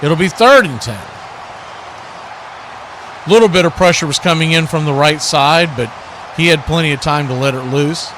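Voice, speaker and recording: A man's voice: on the high side, normal speed, pauses often, fairly bright tone, a little hiss or hum. A man calling live football play-by-play as host, in clipped, telegraphic phrases. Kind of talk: live sports play-by-play commentary.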